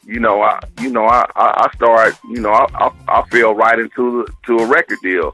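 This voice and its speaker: A man from Oklahoma with a deep voice, talking casually as a guest in a radio interview, loose and repetitive. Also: fairly even intonation, moderate pace, sharp tone, soft background music, low-quality recording. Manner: loose and casual, repeats himself, slangy. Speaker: guest musician.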